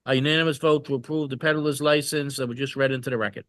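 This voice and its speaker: A man's voice: mid-range voice, steady tone, a bit bright, no echo, clean studio sound. A man chairing a town select board meeting, running through motions and roll call votes in set formal phrases. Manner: brisk formulaic procedure.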